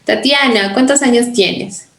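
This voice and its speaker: Gravelly-voiced woman host. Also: a little high, a little slow. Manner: friendly, laughs along with the guest. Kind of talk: scripted intro and interview.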